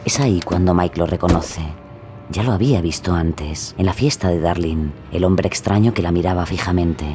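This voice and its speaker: A man's voice: sits low, normal speed. A man reading dramatic scripted true-crime narration in a suspenseful storytelling manner. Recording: clear background ambience noise.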